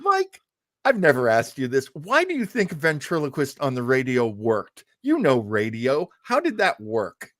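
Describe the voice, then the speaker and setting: A man's voice: mid-range voice, huge pitch swings, a little slow, fairly bright tone, no room sound. A man hosting an online show, talking casually and explaining things as he thinks aloud, on a close microphone. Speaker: host of an online radio-history show. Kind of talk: casual discussion and commentary.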